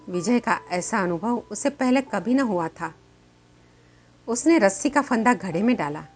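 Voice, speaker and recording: A woman storyteller reading a scripted literary story as narration. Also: mid-range voice. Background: light background music.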